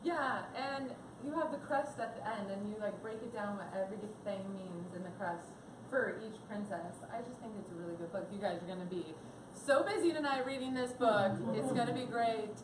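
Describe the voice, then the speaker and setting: A woman's voice: medium pitch, normal speed. A woman hosting a live panel in a shop, upbeat and encouraging. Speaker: host. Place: coffee and comics shop event. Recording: echoey room, background noise.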